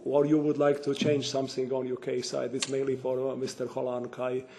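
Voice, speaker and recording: A man, a parliament member, asks a long question at a committee hearing, halting often with fillers and restarts. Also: mid-range voice, monotone, creaky, mellow, slight background noise.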